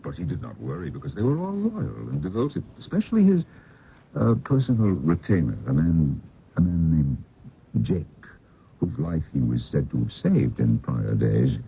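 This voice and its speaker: An actor playing a haunted older man, telling ghost tales in a halting, dramatic old-time radio style with muttered pauses. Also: low-pitched, very animated, creaky, husky, exaggerated voice. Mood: grave storytelling. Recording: muffled phone-like radio recording, faint noise.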